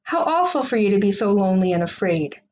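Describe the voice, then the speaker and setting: A woman's voice: medium pitch, sing-song, fast, darkish tone, muffled recording, slight background noise, close to an everyday voice. A woman voicing a kind, encouraging male schoolteacher in a children's storybook, speaking earnestly and with emphasis. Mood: tender, earnest. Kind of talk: children's storybook read-aloud.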